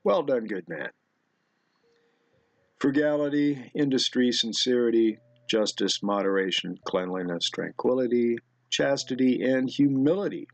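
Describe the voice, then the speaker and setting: A middle-aged man giving rambling off-the-cuff commentary in a halting, musing way, as if talking to himself at his computer. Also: medium pitch, dry recording. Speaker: video host. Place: indoor room at a computer.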